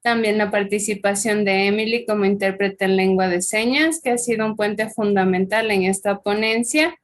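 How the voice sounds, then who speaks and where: Woman moderator, mid pitch, formal and courteous closing remarks over a video call. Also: normal speed. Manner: courteous, orderly thanks.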